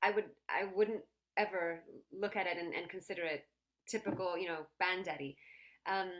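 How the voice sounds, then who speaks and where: A woman's voice: on the low side, steady tone, moderate pace, fairly bright tone, poor audio. Upbeat woman dancer being interviewed. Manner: upbeat, laughs readily. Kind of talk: casual interview chat.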